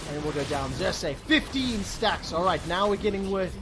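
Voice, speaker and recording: A man calling live esports play-by-play with quick, excited bursts. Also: high voice. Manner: excitable, fast bursts, exclamations. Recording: commentary over loud game music.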